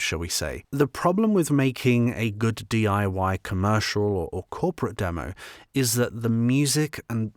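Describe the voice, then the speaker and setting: A man's voice: mid-range voice, dry recording, clean studio sound. A man giving informal instructional advice as a voice-over artist, explaining step by step with emphasis and repeated phrases.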